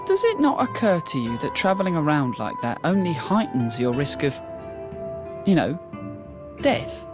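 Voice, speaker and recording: An actor playing a sceptical spirit warrior in a video game, articulate and formal with a questioning manner. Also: male voice, fairly high pitch, huge pitch swings, moderate pace, music in the background. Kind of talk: video game cutscene acting.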